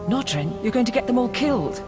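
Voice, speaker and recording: An actor playing a pragmatic, anxious military aide to a dark lord in a video game, brisk and practical. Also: mid-range voice, big pitch swings, loud music behind the voice, close to an everyday voice. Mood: exasperated. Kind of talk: video game cutscene acting.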